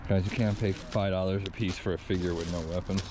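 Low-voiced man haggling outdoors. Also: steady tone, fast. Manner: easygoing, bargaining with vendors. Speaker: reseller shopping a flea market. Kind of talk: casual haggling vlog. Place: outdoor flea market on a field. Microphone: handheld camera, first-person. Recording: outdoor noise.

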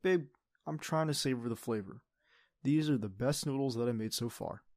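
A man acts out an affectionate teenage boyfriend in an audio roleplay. His voice is mid-range and soft-spoken, with a playful, tender way of talking. It is recorded close and dry, intimate in the ASMR style. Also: mid-range voice, normal speed, dry recording, lightly acted. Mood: playful, coaxing.

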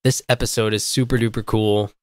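A man's voice: on the low side, flat delivery, fairly quick, no room sound. A man hosting a podcast with a stuffy, congested voice, joking and rambling in casual chat. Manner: joking, rambling, stumbling over words. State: congested from a cold. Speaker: podcast host.

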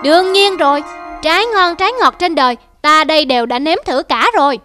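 A woman voicing a haughty male cartoon monkey over loud music. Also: high voice, a little fast. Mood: boastful. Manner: haughty, bossy, threatening. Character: boastful greedy male monkey who claims the apple trees.

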